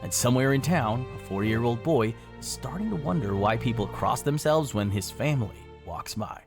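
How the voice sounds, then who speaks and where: A man reading dramatic scripted second-person narration in a grave, measured storytelling manner. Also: medium pitch, expressive, creaky. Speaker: video narrator. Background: background music.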